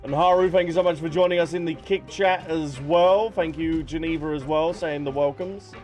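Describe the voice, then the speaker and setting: A man's voice: a little high, fairly even intonation, moderate pace, darkish tone, soft background music. A man livestreaming a game, chatting casually and playfully as he reads and answers viewers. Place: behind: game music.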